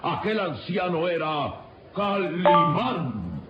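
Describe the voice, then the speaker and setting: Male radio serial narrator over loud music. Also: fairly high pitch, very animated, takes it slowly. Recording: dull lo-fi radio serial recording.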